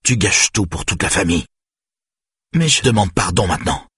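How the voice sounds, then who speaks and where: A man's voice: low-pitched, moderate pace, breathy edge, dry recording, clean studio sound, exaggerated voice. A man voicing a harsh, exasperated father who scolds his young daughter in a stern, angry voice for an audiobook. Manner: stern, shouting when angered.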